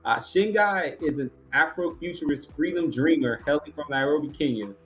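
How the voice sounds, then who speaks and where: A man's voice: a little high, normal speed, a bit bright, slight background noise. A man hosting an awards ceremony, announcing categories, finalists and winners. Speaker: awards show host.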